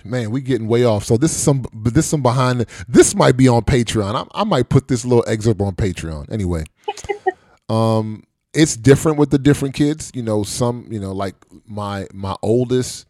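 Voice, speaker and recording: A man's voice: mid-range voice, darkish tone, no room sound, studio quality. A middle-aged man hosting a podcast in casual chat, easygoing and rambling, with many fillers and restarts. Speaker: podcast host.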